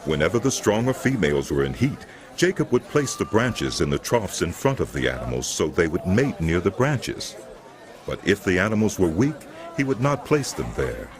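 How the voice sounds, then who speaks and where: Deep, expressive male Bible narrator. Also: normal speed, crowd noise. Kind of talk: scripted dramatic Bible narration.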